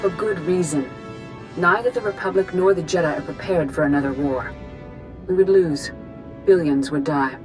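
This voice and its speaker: A woman acts as a wise warrior-order master in a sci-fi game. Her voice is distinctly low, formal and measured, carrying stern authority over clear background music. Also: natural voice. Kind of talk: video game character acting.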